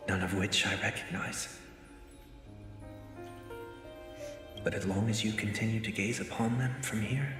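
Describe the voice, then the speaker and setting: An actor playing a composed, formal young man who speaks softly and deliberately in a game. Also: lowish voice, natural voice. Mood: wistful. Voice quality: soft-spoken, formal, measured. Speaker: game character. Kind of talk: game voice acting. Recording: game audio with music underneath.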